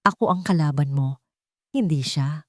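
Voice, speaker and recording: A woman storyteller voices a deadly older-brother crime boss. He is controlled and commanding, pitched a little low, in a dry audio-story recording. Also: normal speed, no echo, lightly acted. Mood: calm, resolute.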